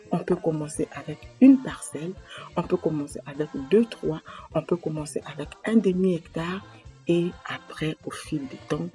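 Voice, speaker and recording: A woman from Congo-Brazzaville, talking to camera in a slow, earnest way to explain her advice and quoting proverbs. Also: low voice, dark tone. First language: a Congolese language. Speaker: association president giving advice.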